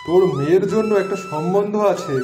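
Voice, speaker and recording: Cartoon role: persuasive village pal, played by a man, high male voice, chatty, echoey sound. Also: fairly quick, a little mellow, faint music behind, natural voice. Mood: eager. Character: eager village friend acting as matchmaker. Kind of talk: Bengali moral-story cartoon voice acting.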